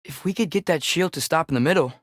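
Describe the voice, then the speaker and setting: A man's voice: a little high, fast, no room sound, clean studio sound, lightly acted. A young man playing an eager, earnest, curious teenage boy, a companion in a video game, fighting beside his father.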